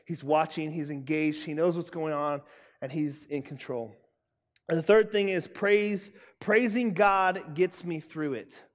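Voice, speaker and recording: A young man preaching a sermon to students, emphatic and loud, repeating his key lines. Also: a little high, fairly even intonation, unhurried. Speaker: youth pastor. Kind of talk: youth sermon. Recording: long hall reverb.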